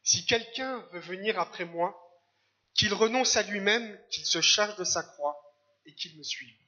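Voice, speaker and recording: A man from Algeria preaching a church sermon in short phrases, pausing for an interpreter. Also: high voice, sharp tone, highs cut off. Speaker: guest preacher. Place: church service.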